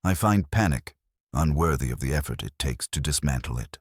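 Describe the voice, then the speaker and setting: A man playing a very deep, stern detective. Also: lively intonation, slightly rough, no echo, clean studio sound, exaggerated voice. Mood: grave, disdainful. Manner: formal, pronouncing, measured phrasing. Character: stern, cerebral male detective, disdainful and exacting. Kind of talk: audiobook character dialogue.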